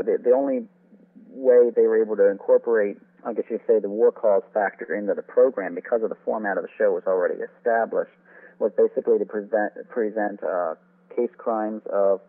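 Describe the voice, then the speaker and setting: A man being interviewed as an author and historian, giving detailed, wordy explanations that tend to ramble. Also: on the low side. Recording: tinny phone-like line.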